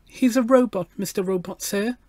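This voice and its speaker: A man voicing an earnest, very polite little girl in a storybook, with a halting treble voice. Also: sits high, darkish tone, no echo, voice pushed up, lightly acted. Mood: earnest, hopeful.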